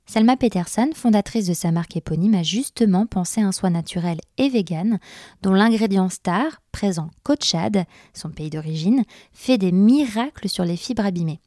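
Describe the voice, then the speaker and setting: A woman's voice: medium pitch, expressive, normal speed, dark tone, no room sound, studio quality. A woman reading a scripted introduction as a podcast host.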